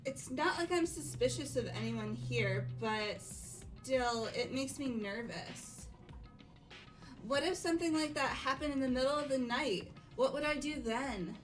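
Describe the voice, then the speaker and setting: A woman voicing a timid, sweet teenage schoolgirl with a soft-hearted, hesitant manner in a fan-voiced game. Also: sits high, measured pace, faint music behind. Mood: frightened. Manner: hesitant, apologetic. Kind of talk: amateur dub of a visual novel game.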